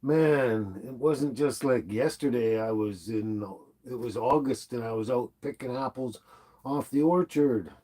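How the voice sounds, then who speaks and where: A man's voice: mid-range voice, unhurried. A middle-aged man with a stuffy nose, hosting a casual livestream from home and chatting with viewers by name. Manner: rambling, relaxed, talks to viewers by name. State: stuffy from the flu. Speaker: livestream host. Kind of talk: casual livestream chat reading comments. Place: at home indoors. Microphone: laptop microphone.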